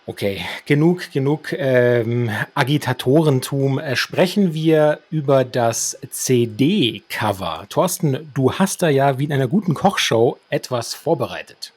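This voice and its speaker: A man's voice: medium pitch, lively intonation, unhurried, few pauses, a little mellow, slightly rough, dry recording. A man co-hosting a podcast, talking in a playfully formal, wordy way as he discusses a radio drama. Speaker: podcast co-host. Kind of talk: semi-scripted radio drama discussion with free commentary.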